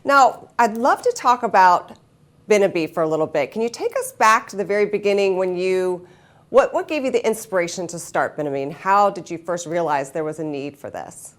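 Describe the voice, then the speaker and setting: A woman's voice: medium pitch, animated, fairly quick. A woman hosting an interview, asking friendly questions and rephrasing them as she goes. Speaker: host.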